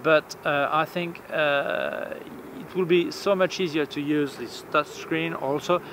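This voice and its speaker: A man's voice: fairly high pitch, fairly even intonation, measured pace, fairly bright tone. Hesitant man with a foreign accent. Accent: non-native English. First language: not English. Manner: hesitant, many fillers and repeated words. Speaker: camera reviewer. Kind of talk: casual camera review vlog. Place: outdoor urban plaza on a bench. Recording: faint outdoor street noise. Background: faint street ambience.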